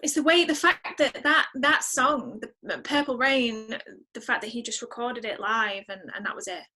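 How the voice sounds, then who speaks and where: A woman's voice: fairly high pitch, moderate pace, bright, forceful tone. A woman musician chatting casually as an interview guest, effusive and emphatic, repeating words for emphasis. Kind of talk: casual podcast interview chat.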